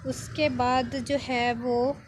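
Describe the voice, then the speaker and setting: A woman giving an informal software tutorial, explaining step by step with frequent check-in tags. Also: fairly high pitch, flat delivery, drawn-out words, slight background noise. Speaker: video editing instructor.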